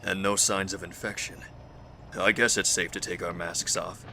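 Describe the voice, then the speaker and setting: A man playing a calm, guarded health official in a zombie outbreak audio drama, speaking in a measured, formal way. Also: low voice, vocal fry, slight background noise, exaggerated voice. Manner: measured, formal, matter-of-fact.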